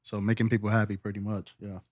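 A man's voice: fairly low pitch, flat delivery, quick pace, dry recording, clean studio sound. A man from the Bronx, a DJ guest on a podcast, talking in an easygoing way with ums and small laughs. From the Bronx, New York. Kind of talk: casual podcast interview.

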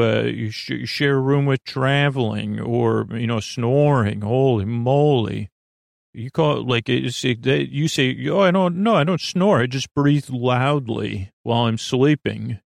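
A man hosting a bedtime podcast in a meandering, halting monologue, often interrupting himself and drifting off on tangents, with a creaky voice. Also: medium pitch, dry recording, studio quality. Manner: halting, digressive, self-interrupting. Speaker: podcast host.

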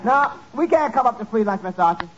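Casting: sardonic tavern waiter, 1940s radio sitcom, strikingly high voice, muffled and very noisy archive sound. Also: male voice, animated, fast talker. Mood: wry. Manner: wry, sardonic. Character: wry, deadpan male tavern waiter puncturing his boss. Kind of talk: 1940s radio comedy acting.

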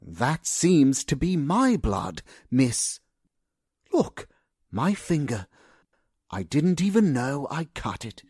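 Guy doing a polite jittery butler type for radio drama, husky, drags words out, pitch jumps all over. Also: very animated, slow, a little mellow, no room sound, studio quality, exaggerated voice. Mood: deferential. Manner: formal, hesitant, very polite. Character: deferential nervous male household servant.

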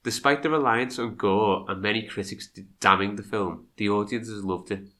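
A man's voice: on the low side, fairly even intonation, moderate pace, bright, forceful tone. A man with a congested, nasal voice from a cold, co-hosting a podcast and moving between scripted history narration and chatty asides. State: has a cold. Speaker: podcast co-host.

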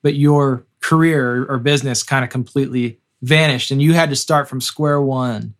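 A man interviewing someone in a relaxed, conversational format. His voice has a medium pitch. He asks questions informally and sounds encouraging, like a fellow songwriter. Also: moderate pace. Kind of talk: casual interview.

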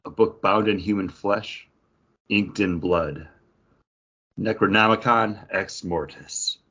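A man's voice: lowish voice, takes it slowly, sharp tone, husky, dull audio. A man co-hosting a podcast in casual chat, telling stories with theatrical build-ups. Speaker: podcast co-host. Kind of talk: casual chat with dramatic storytelling.

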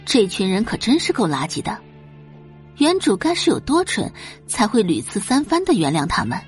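A woman's voice: mid-range voice, wildly swooping pitch, normal speed, soft background music. A woman reading scripted, dramatic audiobook narration as the narrator of a story.

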